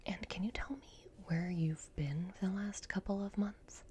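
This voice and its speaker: A woman speaking in a soft, breathy whisper, gently guiding the listener through an ASMR roleplay as a repair technician. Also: medium pitch, steady tone, noisy background. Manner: gentle, reassuring, unhurried guidance. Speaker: ASMR performer playing a robot repair technician.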